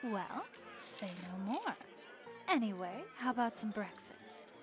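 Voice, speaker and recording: A woman's voice: medium pitch, sing-song, slow pace. A woman playing a friendly, worldly vampire innkeeper in a comforting audio roleplay, chatty and encouraging with a wry, folksy way of talking. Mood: hospitable, playful.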